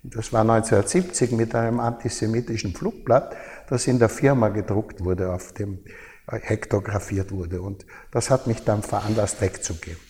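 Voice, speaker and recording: An older man with an Austrian accent recalls his life in an interview, haltingly, often repeating and rephrasing as he goes. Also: lowish voice, steady tone, dark tone. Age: older, over 70. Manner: halting, repeats and reformulates phrases. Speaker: interviewee, former journalist. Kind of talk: reflective interview recollection. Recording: long reverb of a hall.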